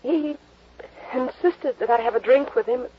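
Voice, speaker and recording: An actress playing a dazed, frightened young woman in a 1950s radio drama, halting and trailing off, on a dull old broadcast recording. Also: sits high, a little hiss or hum. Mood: dazed, shaken.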